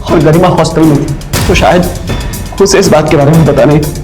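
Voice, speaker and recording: An actor playing a young crime suspect in a Hindi TV crime drama, anxious and defensive, pleading and protesting. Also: male voice, fairly high pitch, fairly even intonation, brisk, lightly acted. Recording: echoey room under dramatic music.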